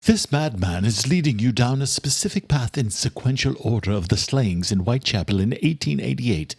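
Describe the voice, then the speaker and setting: A man voicing an older, courteous, determined male doctor in an audiobook, speaking in a formal, measured way. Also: medium pitch, animated, gravelly, breathy edge, no room sound. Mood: reassuring, scheming. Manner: formal, measured, old-fashioned address. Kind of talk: audiobook character dialogue.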